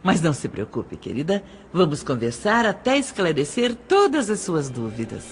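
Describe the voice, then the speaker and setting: A woman's voice: sits low, huge pitch swings, normal speed, music in the background, exaggerated voice. A woman voicing a haughty middle-aged stepmother with a condescending motherly sweetness, in an audio drama, with a Brazilian accent. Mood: sweetly maternal. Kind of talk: Portuguese-language audio drama acting.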